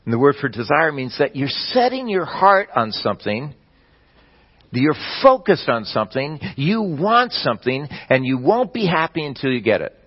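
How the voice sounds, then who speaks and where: A man preaching a sermon, explaining scripture in a measured teaching manner with the odd restart. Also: fairly high pitch, big pitch swings, muffled recording. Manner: measured teaching delivery with occasional slips and restarts.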